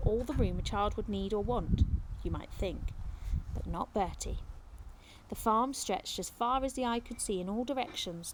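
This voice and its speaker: Woman reading a story, slightly noisy recording. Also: medium pitch. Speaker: teacher reading aloud to pupils. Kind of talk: narrated children's novel reading.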